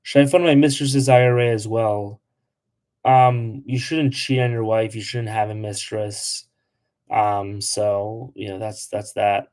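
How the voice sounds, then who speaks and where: A man's voice: fairly low pitch, steady tone, measured pace, a little mellow. A young man hosting a casual livestream, answering comments with lots of "like" and blunt asides. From Polish. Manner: chatty, lots of "like", blunt toward trolls. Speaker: travel livestream host.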